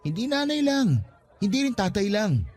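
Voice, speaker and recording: A man's voice: sits high, sing-song, moderate pace, a little mellow, soft background music. An actor in a radio drama playing an earnest, defensive young father pleading with his ex for another chance. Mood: defensive, insistent.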